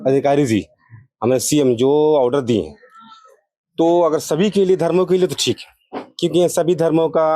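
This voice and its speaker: A man's voice: on the high side, mellow, dry recording. A man from India speaking as a local resident in a street interview, making earnest, repetitive and emphatic appeals. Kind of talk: street interview, opinion statement.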